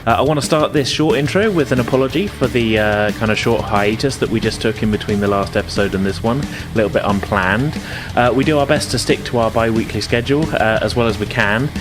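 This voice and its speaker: A man's voice: medium pitch, a little fast, music in the background. A British man hosting and game-mastering a tabletop podcast, talking informally and circling around his phrases. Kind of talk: casual spoken recap and narration for a tabletop game.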